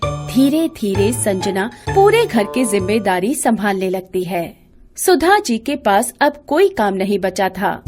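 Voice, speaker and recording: A woman storyteller giving scripted narration of a family moral story. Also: a little high.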